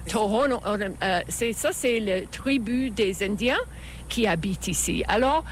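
A woman's voice: mid-range voice, animated, unhurried. An older woman from Canada, a local guide, chatting casually as an interview guest. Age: older, retired. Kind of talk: casual chat. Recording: hissy location recording with clear noise.

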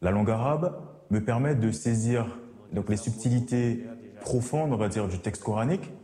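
A man's voice: medium pitch, normal speed, mellow. A young man studying theology, carefully translating and describing his lessons. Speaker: theology student. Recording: echoey classroom.

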